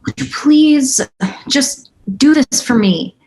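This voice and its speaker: A woman's voice: high voice, lively intonation, takes it slowly, a little mellow, full character voice, poor audio. A woman playing a frantic, insistent young woman who believes she is a ghost, in a script table read. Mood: insistent, excited.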